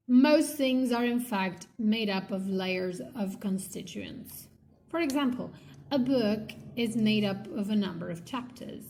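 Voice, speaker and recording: Slow, higher-voiced woman reading aloud. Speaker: reader of a linguistics textbook. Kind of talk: read-aloud textbook narration.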